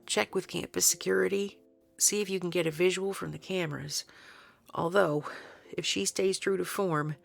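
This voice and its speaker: A woman acts out a weary, stubborn woman researcher in an audio drama. Her voice is on the low side and heavy with creak. She talks bluntly and dryly, with a sardonic streak. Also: steady tone, moderate pace, soft background music. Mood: uneasy, dry.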